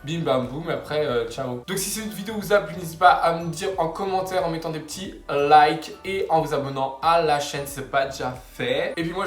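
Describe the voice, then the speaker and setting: Chatty young man, slangy vlog in an echoey room. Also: fairly high pitch. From France. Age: young adult. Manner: slangy, rambling, upbeat. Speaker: vlogger. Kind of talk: casual vlog talk. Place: room at home, building works nearby.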